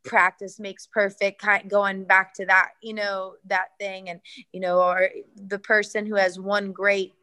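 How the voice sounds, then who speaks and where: A woman's voice: medium pitch, little pitch movement, a little slow, sharp tone. A young adult woman fighter, answering interview questions earnestly, with many fillers and restarts. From United States.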